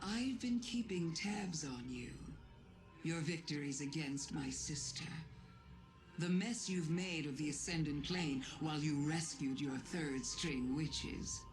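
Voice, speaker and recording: A woman playing a sly ancient alien witch-queen in a video game, smug and silkily taunting, with deliberate, insinuating delivery. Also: low voice. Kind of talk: theatrical scripted game monologue. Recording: echoing voice under clear music.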